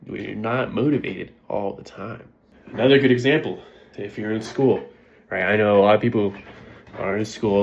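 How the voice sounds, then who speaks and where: A man's voice: lowish voice, vocal fry, highs cut off. A congested man talking casually to his viewers about motivation, often checking in with "right?". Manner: conversational, keeps asking "right?". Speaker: vlogger. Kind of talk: casual motivational talk to camera.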